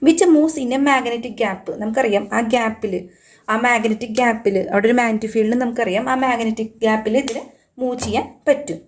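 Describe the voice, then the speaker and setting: High-pitched Malayali woman teaching. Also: normal speed, slight background noise. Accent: Kerala Malayali. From Kerala, India. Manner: instructive, repeats key points and poses questions then answers them. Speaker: physics teacher. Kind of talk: exam-prep lesson, explaining step by step.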